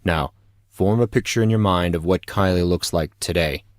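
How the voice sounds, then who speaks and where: A man's voice: deep voice, normal speed, no room sound, clean studio sound, close to an everyday voice. A man playing a big, easygoing teenage boy who explains things patiently with a knowing, lightly teasing manner in an audio story.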